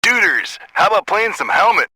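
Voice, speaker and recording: Piercing, rowdy young metalhead caller. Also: male voice, sits high, very animated, a little fast, sharp tone, breathy edge, no echo, heavily acted, poor audio. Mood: eager. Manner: slangy, boisterous, dude-like. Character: rowdy young male metalhead calling a radio station. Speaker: radio call-in listener.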